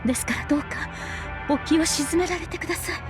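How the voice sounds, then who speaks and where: An actress playing a dutiful, dignified middle-aged wife in a visual novel, speaking formally and politely with composed resolve. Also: female voice, very high-pitched, rapid-fire. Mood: anxious, pleading. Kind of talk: Japanese visual novel voice acting. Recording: music behind the voice.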